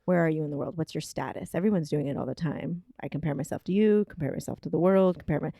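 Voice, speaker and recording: A woman's voice: sits low, fast talker, creaky, mellow, no room sound, studio quality. A woman co-hosting a casual kitchen-table chat, talking thoughtfully and searching for words with many fillers. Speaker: podcast co-host.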